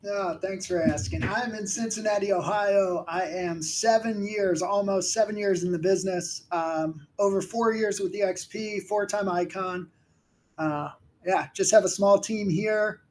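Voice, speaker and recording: A man's voice: high-pitched, measured pace, a little hiss or hum. A man introducing himself on a panel, humble and grateful.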